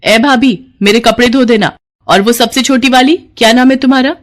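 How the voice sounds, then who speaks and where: Woman putting on a bossy, shrill-pitched sister-in-law. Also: normal speed, lightly acted. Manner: bossy, demanding. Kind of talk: animated moral story character acting.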